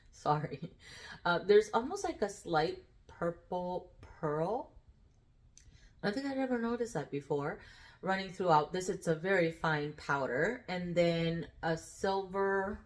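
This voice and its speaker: A woman with a slightly low voice talks through her polish collection in a loose, rambling way. Her voice sounds nasal and congested. She fills gaps with ums and soft laughs, staying upbeat. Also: animated, slow. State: full after eating. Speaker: nail polish vlogger. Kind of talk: casual chatty product talk.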